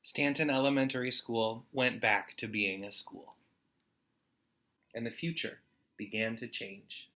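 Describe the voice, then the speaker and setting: A man's voice: medium pitch, fairly even intonation, unhurried, long pauses, pushed and bright. An American man hosting a storytime, reading a children's picture book aloud expressively to students.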